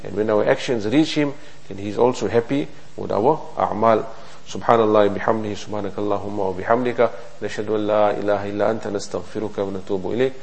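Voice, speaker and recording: A man giving a hadith lesson in an earnest, repetitive preaching manner, asking rhetorical questions and reciting Arabic quickly. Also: on the low side, steady tone, creaky, a little mellow. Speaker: religious teacher.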